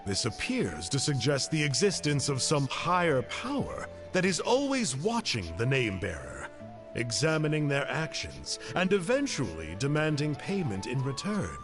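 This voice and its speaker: A calm bookish game hero. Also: male voice, on the high side. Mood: reading. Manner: calm, measured reading aloud. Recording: game audio with clear music behind.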